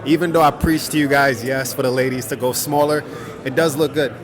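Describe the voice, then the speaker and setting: A man's voice: mid-range voice, fairly even intonation, brisk, crowd noise. A man hosting a vlog at an event, upbeat and speaking straight to his viewers while interviewing guests. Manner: upbeat, addresses viewers directly, friendly interviewer. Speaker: watch vlogger, host. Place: busy indoor event. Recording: music playing behind.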